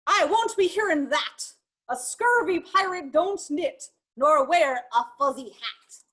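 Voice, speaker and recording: A woman's voice: high voice, animated, slow pace, fairly bright tone, pitched above the performer's own voice, heavily acted. A woman voicing a bossy, furious pirate captain in a storybook, barking stern orders in pirate slang. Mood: indignant.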